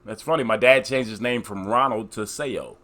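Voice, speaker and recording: A man's voice: fairly low pitch, moderate pace. A man in his forties hosting a podcast, joking around in a laid-back, profane way. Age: 40s. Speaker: podcast host. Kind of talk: casual podcast chat.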